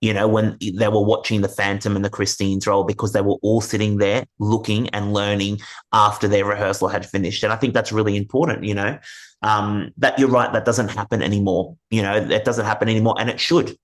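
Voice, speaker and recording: A man with a fairly low voice hosts a conversational interview. He speaks earnestly, offering advice and circling back to repeat his points. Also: little pitch movement, fairly quick. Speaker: interview host.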